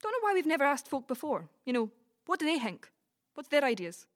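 A woman with a Scottish Dumfries accent acting in an audio play as a brash, gossipy, blunt friend with a soft side. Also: high-pitched, very animated, brisk. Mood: chatty. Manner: chatty, blunt, sweary. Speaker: community cast actor. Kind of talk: community audio drama, colloquial dialogue.

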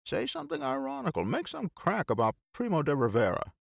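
Man acting a boisterous, high-swooping teasing fellow. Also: wildly swooping pitch, a little fast, gravelly, no room sound, clean studio sound, exaggerated voice. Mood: mock scolding. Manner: emphatic, swooping, bantering. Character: Bill, boisterous teasing man, mock-preachy and playful. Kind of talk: audiobook character dialogue.

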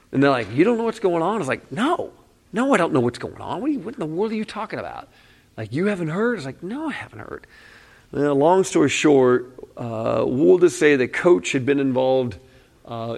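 A middle-aged man who was a youth pastor, telling a story to an audience in a casual, animated way, acting out quoted voices and sometimes dropping to a hushed aside. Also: medium pitch, creaky.

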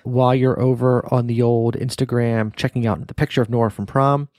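A middle-aged man hosts a casual podcast, teasing in a playful way and circling back over his own words. His voice sits at an ordinary pitch. The recording is dry and close. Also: little pitch movement, fairly quick, darkish tone, dry recording. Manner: playful teasing dad, rambling restarts. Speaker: podcast host, father.

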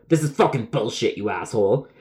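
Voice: medium pitch, animated, normal speed, voice pushed down, close to an everyday voice. A man voicing an angry, indignant pizza customer complaining emphatically in a comedic story. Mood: furious. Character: angry profane male pizza customer, belligerent liar.

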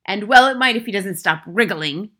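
A huge, tyrannical storybook headmistress, as a woman narrator puts her on. She barks and bellows with sharp, forceful volume. Every phrase is a scornful, bullying command or insult. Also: medium pitch, expressive, moderate pace, slight background noise. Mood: furious, bellowing. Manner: barking, bellowing, snorting insults.

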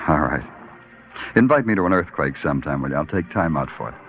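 An actor playing a wry, hardboiled New York police detective in 1940s radio noir, dry, clipped and sardonic. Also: male voice, very deep voice, lively intonation, a little fast, fairly bright tone, a little hiss or hum, heavily acted. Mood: wry, guarded. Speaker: lead actor and narrator. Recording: muffled old radio recording with music.

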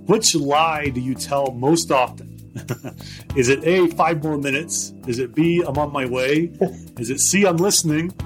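A man's voice: fairly high pitch, unhurried, soft background music. A man from Scotland, hosting a casual interview in a friendly, chatty way. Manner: friendly, conversational, reads poll options aloud. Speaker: podcast host.

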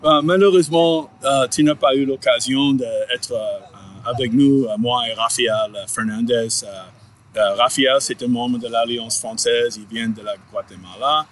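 A man hosting a relaxed interview, talking in halting phrases full of filler sounds and quick chuckles. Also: medium pitch, a little hiss or hum. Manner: hesitant, many filler sounds, chuckles often. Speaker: podcast host. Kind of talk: conversational interview.

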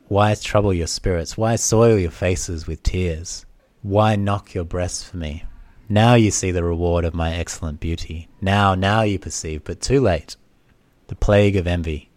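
A man's voice: deep voice, a little slow, a little mellow, no room sound. A man voicing a sorrowful, proud young princess resigned to doom, in solemn declamatory storytelling. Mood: bitter lament. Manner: solemn, declamatory, rhetorical questions. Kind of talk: dramatic storytelling of an old myth.

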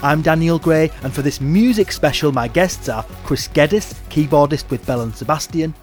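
A man's voice: fairly high pitch, normal speed, faint music behind. A man hosting a football podcast, reading a jokey scripted intro and chairing a live panel. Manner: dry, jokey delivery. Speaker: podcast host.

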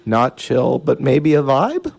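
A Canadian man co-hosting community radio, answering with short, wry one-liners. His voice has a gravelly edge and swings up and down in pitch. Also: huge pitch swings, slow pace, a little mellow. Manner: dry, wry quips. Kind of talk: casual radio banter. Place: small radio studio.

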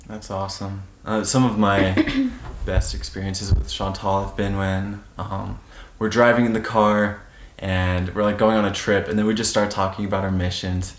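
A man's voice: fairly low pitch, fairly even intonation, normal speed. A man hosting a casual podcast interview, upbeat and enthusiastic, sharing one microphone with his guest. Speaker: podcast host. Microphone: single shared microphone.

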